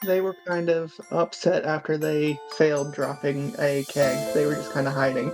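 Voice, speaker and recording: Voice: mid-range voice, flat delivery, normal speed, darkish tone. A player in a tabletop game, chatting casually at the table. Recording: music under the voice. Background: background music.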